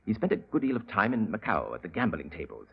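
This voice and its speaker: A man's voice: on the low side, huge pitch swings, quick pace, creaky, breathy edge, heavily acted. A nervous, stammering young man. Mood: hesitant, hushed. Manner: hesitant, stammering, hushed. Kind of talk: 1940s radio drama acting. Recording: dull lo-fi old radio broadcast.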